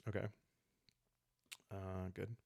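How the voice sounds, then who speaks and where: A man calmly thinking aloud in an unhurried, casual way as he plays and narrates a solo roleplaying game. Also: sits low, vocal fry, no echo, studio quality. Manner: calm, unhurried, musing, meant for relaxed listening. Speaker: host and solo player of a journaling roleplaying game.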